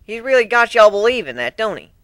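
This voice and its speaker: Cartoon female farm pony, US Southern accent , acted by a reader; blunt, folksy, raised pitch. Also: very animated, brisk, sharp tone, no room sound, clean studio sound. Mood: accusing. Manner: folksy, accusing.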